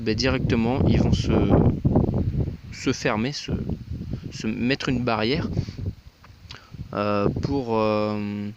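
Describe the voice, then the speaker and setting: A man of medium pitch gives an unscripted, critical commentary in a halting way, circling back over his words. The recording is muffled and lo-fi, with very heavy noise and clicks over it. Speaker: amateur radio hobbyist commentating.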